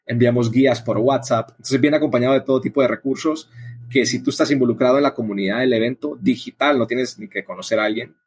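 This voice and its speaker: A man's voice: medium pitch, fast, slightly rough. A man from El Salvador, answering interview questions in a conversational, explanatory way with frequent tag questions. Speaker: interview guest, coffee event organizer.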